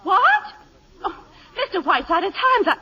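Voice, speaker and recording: Flustered woman, piercingly high and sharp. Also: sing-song, normal speed, heavily acted. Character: flustered, bewildered prim woman. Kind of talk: 1950 radio comedy acting. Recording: muffled vintage 1950 radio broadcast.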